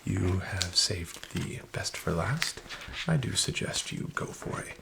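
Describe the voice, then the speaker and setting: A man in a soft-spoken roleplay as a smooth, flattering casino dealer, often whispering and slyly nudging the listener to bet more. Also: deep voice, slow, noisy background. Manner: whispery, with much unvoiced soft speech. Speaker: ASMR roleplay creator playing a casino dealer.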